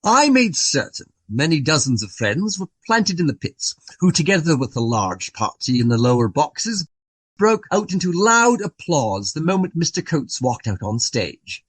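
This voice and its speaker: Florid, garrulous Regency gentleman, wildly expressive. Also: fairly high pitch, moderate pace, husky, no room sound, exaggerated voice. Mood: smug, confiding. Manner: long-winded, florid, genteel formal phrasing. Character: portly, garrulous, self-important Regency gentleman. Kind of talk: radio play dialogue, storytelling.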